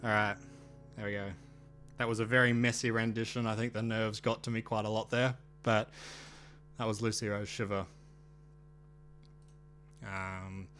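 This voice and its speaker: A man streaming live, chatting casually with his viewers, hesitant with many fillers and restarts, speaking into a dynamic mic on a boom arm at home. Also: a bit bright.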